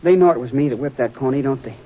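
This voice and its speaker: A man's voice: mid-range voice, quick pace, slight background noise, natural voice. An actor playing a troubled, frightened boy on a ranch, pleading and defensive, in a 1950s radio drama. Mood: confessing. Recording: dull old radio broadcast.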